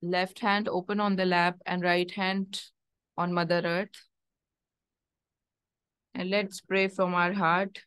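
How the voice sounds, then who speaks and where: A woman leading a guided meditation, giving gentle instructions and prayers in measured short phrases with long pauses. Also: lowish voice, little pitch movement, smooth, no room sound.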